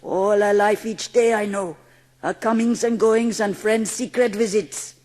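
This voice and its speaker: Woman acting a gentle Indian servant in long echo. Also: mid-range voice, fairly even intonation, a little slow, lightly acted. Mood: earnest, hushed. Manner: formal, reverent storytelling phrasing. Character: gentle, watchful Indian manservant, devoted and stealthy. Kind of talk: dramatised audiobook acting.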